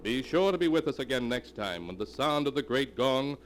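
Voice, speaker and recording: A man's voice: medium pitch, expressive, fairly quick, noisy background. A man narrating in the melodramatic scripted style of a 1940s radio announcer, on an old recording.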